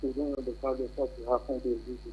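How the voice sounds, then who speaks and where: A man's voice: mid-range voice, little pitch movement, fast, darkish tone, breathy edge. A man calling in to a radio show and offering unscripted advice. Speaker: radio phone-in caller. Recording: muffled, dull phone call with hum.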